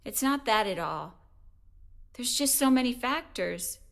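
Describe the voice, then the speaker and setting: A woman's voice: a little high, lively intonation, unhurried, creaky. A woman playing a patient, cautious middle-aged mother of a grown son in an audio drama. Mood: hesitant, concerned.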